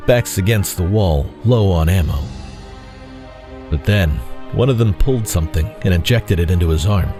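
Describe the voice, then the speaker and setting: A man reading scripted dramatic fiction as narrator, in a measured, solemn way. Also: deep voice, darkish tone. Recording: faint music bed behind voice.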